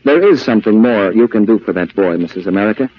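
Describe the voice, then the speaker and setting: Male 1940s radio announcer, deliberate and earnest scripted appeal, muffled old recording. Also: lowish voice, expressive.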